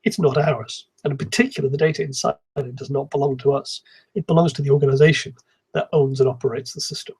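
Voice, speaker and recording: A man giving an explanatory technical conference talk in a calm, measured manner, with a slightly husky voice. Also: mid-range voice. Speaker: conference speaker, software engineer.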